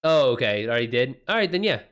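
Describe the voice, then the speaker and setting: A man's voice: mid-range voice, very animated, fast talker, fairly bright tone. A man talks casually as a livestream host, with a mid-range voice. His speech is informal and slangy, with swearing dropped in freely, as he chats with his viewers.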